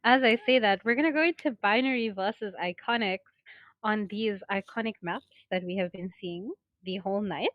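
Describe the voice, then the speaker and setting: A woman's voice: medium pitch, no echo. Chatty woman co-caster, dull lo-fi sound. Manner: playful, chatty, measured. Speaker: esports co-caster. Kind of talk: live esports commentary chat. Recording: slightly dull lo-fi stream audio.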